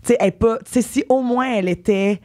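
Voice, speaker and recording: A woman's voice: fairly high pitch, quick pace, a little mellow. A woman with a Québécois accent, a guest actress rambling and thinking aloud in a casual interview, playfully evasive. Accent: Québécois French. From Quebec.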